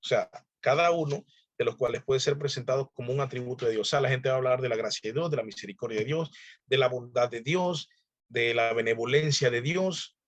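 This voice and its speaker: A man's voice: mid-range voice, a little fast. A man, a pastor, teaching a Bible class in a loose, conversational way, often asking the listener questions and chuckling as he goes. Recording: dull dry lo-fi sound.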